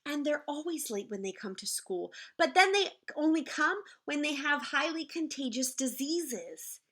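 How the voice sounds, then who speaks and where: A woman reading a picture book aloud in the manner of a teacher, expressive and explaining things simply to children. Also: sits high, measured pace. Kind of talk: animated children's read-aloud with asides to the listeners.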